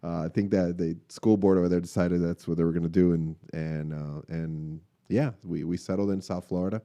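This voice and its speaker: A man from Cuba in his late forties, a restaurant owner telling his story as a guest, relaxed and hesitant with many fillers. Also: low-pitched, brisk, lo-fi sound. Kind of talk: interview answers, personal story. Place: podcast room in a restaurant.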